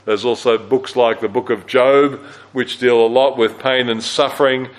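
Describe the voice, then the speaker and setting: A man with a low-register voice teaching a Bible study at a church camp, speaking conversationally with fillers and self-corrections. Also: steady tone, normal speed, rough recording.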